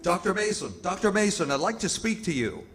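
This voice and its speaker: An actor playing a polite, cautious hospital supervisor with a tactful, businesslike, slightly hesitant manner in old-time radio theatre. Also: male voice, high voice, lively intonation, fairly quick, music in the background.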